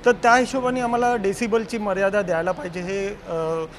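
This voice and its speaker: A man's voice: high voice, fairly even intonation, fairly quick, a crowd in the background. A man explaining in an interview, dropping English words into his speech. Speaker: sound operator being interviewed. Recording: faint outdoor traffic noise.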